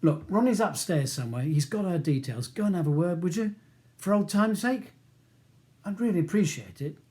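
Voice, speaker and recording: Audiobook role: male reader as a thirtyish East End gangster behind bars, mellow, confidential, coaxing, with London vowels. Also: animated. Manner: low, fast, confidential, colloquial. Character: cockney gangster prisoner around thirty, urgent and wheedling. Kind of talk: audiobook character dialogue.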